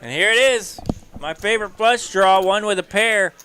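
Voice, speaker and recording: Man, poker color commentator, higher and bright voice, playful banter. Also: normal speed, slight background noise. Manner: playful, joking, excitable on big hands. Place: commentary booth.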